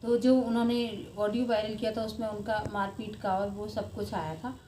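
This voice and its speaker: A woman's voice: medium pitch, steady tone, moderate pace, dark tone. A woman giving informal vlog commentary in an earnest, emphatic way that often circles back on itself. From India. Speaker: video blogger. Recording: echoey room with faint background noise.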